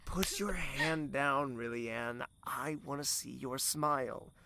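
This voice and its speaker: Slow, gravelly, teasing young man by an actor. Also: mid-range voice, sharp tone, full character voice. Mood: playful, tender. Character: gentle, teasing young man, wistful companion to a lady. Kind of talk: audio drama acting.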